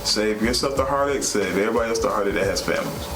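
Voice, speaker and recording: A man's voice: on the low side, very fast, noisy background. A man co-hosting a vlog, giving candid, conversational commentary in an earnest, plain-spoken way. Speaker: vlog co-host. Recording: roomy echo, music underneath.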